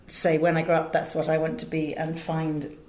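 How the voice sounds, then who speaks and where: Low-pitched middle-aged British woman, roomy sound. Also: monotone, moderate pace, a little mellow. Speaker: interview guest, audio director and drama school teacher. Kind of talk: conversational interview, anecdotal. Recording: roomy, echoey indoor sound.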